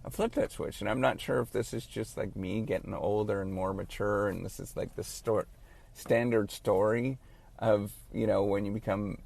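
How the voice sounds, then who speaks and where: A man in his late thirties who stutters, giving halting, earnest encouragement in a casual spoken monologue as a professor. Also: lowish voice, steady tone, creaky, a little mellow. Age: late 30s.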